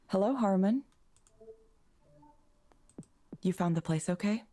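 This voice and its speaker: An actress playing a polite, composed woman counsellor in a video game, measured, neutral and professional. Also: mid-range voice, lively intonation, dark tone, close to an everyday voice. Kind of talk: visual novel game voice acting.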